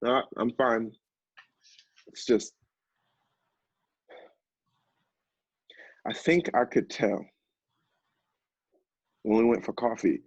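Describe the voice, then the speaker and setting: An actor playing an earnest, emotional man who knows addiction firsthand, speaking haltingly in a stage play. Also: mid-range voice, vocal fry, husky, full character voice. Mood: upset, halting. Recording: roomy, dull stage recording.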